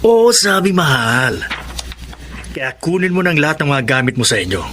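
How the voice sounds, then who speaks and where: Flirty, swooping sweet-talker lover. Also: male voice, on the high side, sing-song, normal speed, sharp tone. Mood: coaxing. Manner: wheedling, sweet-talking. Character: smooth-talking, flirtatious, selfish lover. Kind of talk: Filipino radio drama acting. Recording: faint background noise.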